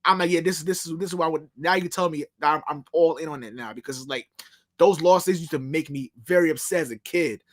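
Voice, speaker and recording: Slightly high-voiced man in his twenties. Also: a little fast. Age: 20s. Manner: earnest, repeats himself. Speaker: podcast co-host. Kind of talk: casual sports chat.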